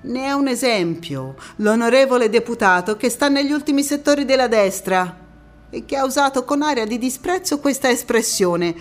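A woman's voice: a little high, animated, normal speed, natural voice. An actress performing a theatrical monologue as a firm, young female politician who moves between oratory and intimate recollection. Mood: impassioned, indignant. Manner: impassioned oratory alternating with quiet intimate narration. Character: young female Constituent Assembly deputy, firm, indignant, reflective, ironic. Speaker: stage actress.

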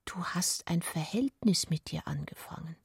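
An actress playing a wounded, defiant mistress in a literary radio drama, with a husky, breathy voice that often nears a whisper. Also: female voice, fairly low pitch, animated, moderate pace, no room sound, studio quality, full character voice. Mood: defiant, hushed. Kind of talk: literary audio drama, hushed narration and dialogue.

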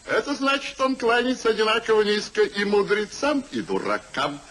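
An actor playing a gruff, hearty old uncle in a theatrical 1950s Russian radio play, blunt and exclamatory. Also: male voice, sits high, very animated, normal speed, fairly bright tone. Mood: scornful, blunt. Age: older. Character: Sir Oliver, gruff hearty old uncle back from abroad.

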